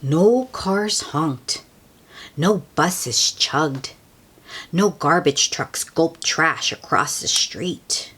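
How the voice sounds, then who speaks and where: Female storybook reader, husky and unhurried, expressive delivery that drops into hushed asides for young listeners. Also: deep voice. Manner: slow, expressive, hushed at times, explains words simply. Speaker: children's book read-aloud host. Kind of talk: storybook read-aloud with asides to children.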